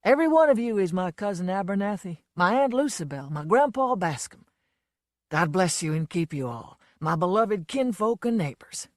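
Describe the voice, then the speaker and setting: Woman doing a drunken braggart, loud, slurred, swaggering, swooping pitch, dry close sound. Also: sing-song, normal speed, no room sound, lightly acted. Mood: self-pitying. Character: drunken blustering man, a boastful folksy entertainer.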